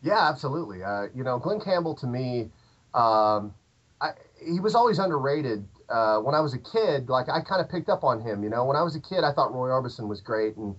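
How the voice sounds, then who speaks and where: A man's voice: mid-range voice, very animated, brisk. A man in his thirties with a southern Illinois accent, chatting casually as a podcast guest, rambling with many fillers and restarts. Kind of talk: casual interview chat. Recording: dull lo-fi podcast audio.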